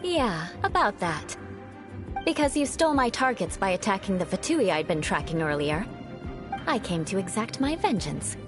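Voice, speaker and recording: A woman's voice: mid-range voice, very animated, moderate pace, close to an everyday voice. Woman playing a grandiose, haughty young avenger. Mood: haughty, vengeful. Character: proud, theatrical young noblewoman fighter, haughty but secretly caring. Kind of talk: anime-style video game voice acting. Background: game music.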